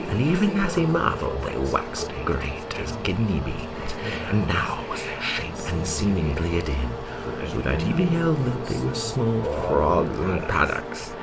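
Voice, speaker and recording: A man reading an archaic fantasy audiobook as a dramatic, solemn, measured narrator. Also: rumbling low, gravelly, low-quality recording. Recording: moody music soundscape behind.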